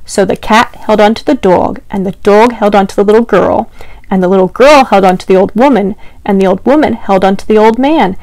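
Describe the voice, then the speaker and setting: A woman's voice: mid-range voice, fairly quick, darkish tone, no room sound, poor audio. A young woman telling stories to small children as a storytime host, with exaggerated, encouraging delivery aimed straight at the listeners. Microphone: clip-on lapel mic.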